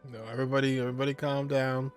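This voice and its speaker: A man's voice: medium pitch, monotone, racing, faint music behind. A man giving casual, off-the-cuff commentary as he streams a game and reads dialogue choices aloud. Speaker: gaming streamer.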